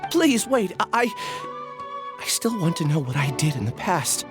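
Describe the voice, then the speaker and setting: A timid, apologetic youth from a video game played by a male actor, with very expressive pitch. He is earnest and hesitant, prone to stammering, over clear background music. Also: brisk, close to an everyday voice. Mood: pleading. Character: timid, troubled, apologetic young amnesiac.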